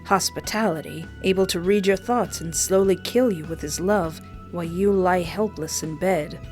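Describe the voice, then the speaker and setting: A woman's voice: lowish voice, moderate pace, darkish tone. A woman hosting a countdown video, telling it as scripted narration in a dramatic, emphatic way. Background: music.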